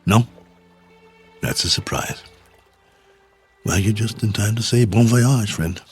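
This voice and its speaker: An actor playing a stranded space traveler, weary but hopeful, halting and musing in a radio drama. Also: male voice, fairly low pitch, slightly rough, soft background music, full character voice. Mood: wary, muttering. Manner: halting, musing, talks to himself.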